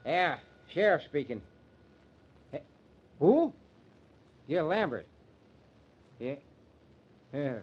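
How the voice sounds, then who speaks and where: An actor playing a gruff, exasperated small-town sheriff in an old-time radio drama, blunt, folksy and bossy. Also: male voice, on the high side, big pitch swings, extremely slow, pauses often, lo-fi sound, full character voice.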